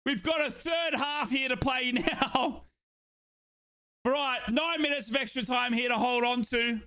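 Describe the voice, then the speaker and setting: A young Australian man giving excited live football commentary on a watchalong stream, shouting and repeating himself, his voice going hoarse. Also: extremely high pitch, a little slow.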